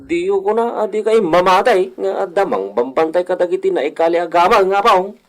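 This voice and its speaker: A man playing an excitable, eager villager spreading gossip in a radio drama. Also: high-pitched, brisk, a crowd in the background, close to an everyday voice. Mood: excited.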